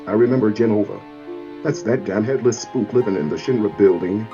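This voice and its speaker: A gruff, hot-headed rebel played by a man in a game fan dub, blunt and rough-spoken. His voice is low, the sound dull and lo-fi, with loud music behind. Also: a little fast, close to an everyday voice. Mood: indignant.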